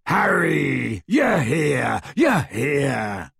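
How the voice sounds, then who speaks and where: A man voicing a huge bearded half-giant gamekeeper who talks in rough, clipped country speech and booms his words. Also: very animated, very slow, fairly bright tone, dry recording, studio quality, heavily acted. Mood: excited. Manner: rustic dropped-word speech, booming.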